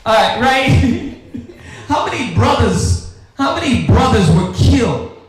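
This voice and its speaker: An American man teaches scripture like a fiery street preacher, his high voice swinging widely in pitch. He talks confrontationally, with slangy rhetorical questions. The room is very echoey, with faint noise underneath. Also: sing-song, slow pace. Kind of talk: fiery teaching sermon with call and response.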